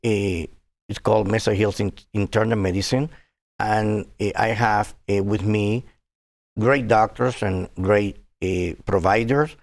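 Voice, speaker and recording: A man in his sixties from Cuba, speaking as a physician guest in a TV interview, earnest and halting with many fillers. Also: lowish voice, fairly even intonation, gravelly.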